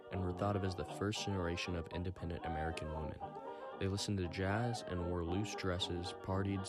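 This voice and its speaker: A man reading a scripted report for a 1920s-style radio program. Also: low voice.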